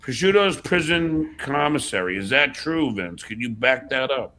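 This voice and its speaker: A man's voice: medium pitch, animated, moderate pace, sharp tone. A man hosting a livestream in casual chat, blunt and often swearing. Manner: profane, blunt. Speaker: host of a livestream show.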